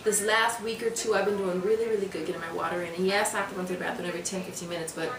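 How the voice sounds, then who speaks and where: A woman's voice: fairly low pitch, steady tone, rapid-fire, a crowd in the background. A young woman vlogging casually about meal prep in her home kitchen, chatting with lots of fillers and restarts. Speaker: fitness vlogger. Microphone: camera on counter a few feet away. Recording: roomy, distant, noisy camera audio.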